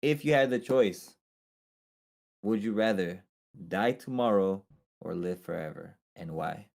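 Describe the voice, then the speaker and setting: A laid-back man with a low voice and heavy gravel in his tone. He co-hosts in an easygoing way, tossing in dude and bro. His questions stay friendly and loose. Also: animated, unhurried, darkish tone. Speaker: co-host. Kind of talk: casual podcast chat.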